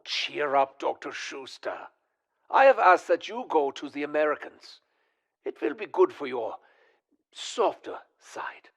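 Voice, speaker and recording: An actor playing a scheming, condescending mad scientist with a theatrical German accent in a video game. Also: male voice, fairly high pitch, vocal fry, fairly bright tone, slightly rough, heavily acted. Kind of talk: video game cutscene acting.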